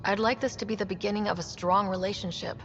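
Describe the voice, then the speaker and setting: An actress playing an earnest young human space explorer in a video game, plain-spoken and diplomatic. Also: female voice, medium pitch, steady tone, quick pace, a little hiss or hum, close to an everyday voice. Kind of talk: cinematic video game acting. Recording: dull game audio with faint music.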